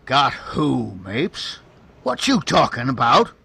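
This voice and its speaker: Guy doing gravelly old west sheriff for radio, blunt, bright edge to it. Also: medium pitch, sing-song, slow, dry recording, full character voice. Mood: challenging. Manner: blunt, folksy. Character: blunt, tough western sheriff, weary and stern.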